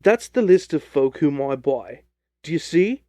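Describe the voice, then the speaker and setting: Blustering Victorian poultry seller, cocksure and combative, raised forceful delivery performed by a male narrator; storybook character. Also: a little high, big pitch swings, a little slow, no room sound. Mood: smug, insistent. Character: surly, cocksure Victorian poultry salesman, quick to anger. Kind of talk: audiobook character voice.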